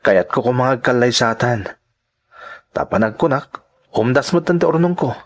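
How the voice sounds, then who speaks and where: A man's voice: medium pitch, expressive, normal speed, lightly acted. A man playing a devoted, sincere young suitor in a radio drama, with an Ilocano accent. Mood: earnest.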